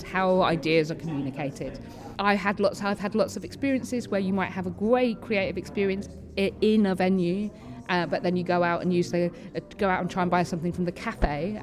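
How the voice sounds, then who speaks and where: Low-voiced woman amid crowd chatter. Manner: frequent involuntary vocal tics interjecting stray words. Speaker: panellist and theatre-maker being interviewed. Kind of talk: conversational interview. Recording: busy venue with crowd chatter behind.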